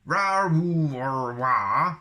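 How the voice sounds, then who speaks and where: A man's voice: a little high, animated, sharp tone, pitched above the performer's own voice, exaggerated voice. A man voicing a big hairy alien creature that growls and howls in short wordless bursts, as in a storybook reading. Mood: loud roaring. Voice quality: growling, howling. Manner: wordless roars and drawn-out moans in short bursts.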